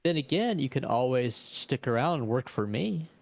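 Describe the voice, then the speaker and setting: A man's voice: medium pitch, animated, normal speed, gravelly, a little mellow. A man voicing a composed, well-to-do vampire businesswoman who makes deals courteously in a tabletop roleplay. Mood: businesslike, inviting.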